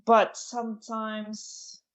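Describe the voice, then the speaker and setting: Slow-talking Japanese-native woman. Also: a little high, completely flat delivery. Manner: hesitant, halting phrasing with frequent laughs. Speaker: comedian guest. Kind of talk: casual podcast chat.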